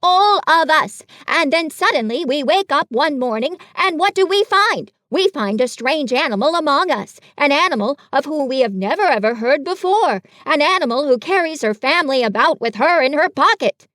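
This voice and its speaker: A woman voicing a fussy, bossy male rabbit in a storybook reading, loud and self-important. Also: high-pitched, animated, moderate pace, few pauses, no room sound, studio quality, pitched above the performer's own voice.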